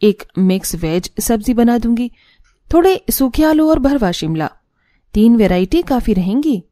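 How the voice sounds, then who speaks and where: A woman's voice: fairly high pitch, big pitch swings, measured pace, mellow, no echo, studio quality. A woman voicing a young, dutiful new bride thinking aloud in a cartoon story. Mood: musing, earnest. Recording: music bed under the voice.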